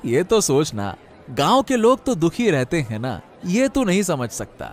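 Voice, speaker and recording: A man's voice: a little high, wildly swooping pitch, unhurried. A man voicing an honest, principled poor fish seller in a cartoon story, speaking earnestly with indignation.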